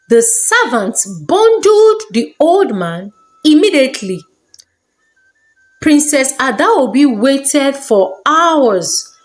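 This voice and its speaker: Langsame, lebhaft modulierende Märchenerzählerin. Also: female voice, on the high side, poor audio. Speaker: storyteller. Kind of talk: expressive scripted folktale narration.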